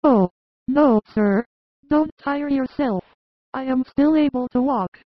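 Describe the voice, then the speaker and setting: A woman's voice: high voice, slow, no room sound, lo-fi sound. A woman playing a young boy in a childlike manner in a dramatized religious audiobook. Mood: polite. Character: young boys, polite or haughty, in a Bible story.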